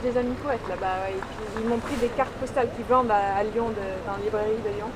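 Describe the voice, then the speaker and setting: A woman's voice: fairly even intonation, quick pace. A woman chatting casually as interviewer, with short replies and questions. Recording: very noisy outdoor street recording, engine rumble.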